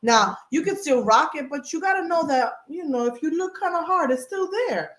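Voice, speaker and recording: A woman hosting a podcast in casual chat, talking in an animated, emphatic way and explaining with everyday examples. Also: high voice, moderate pace, echoey room. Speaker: podcast host.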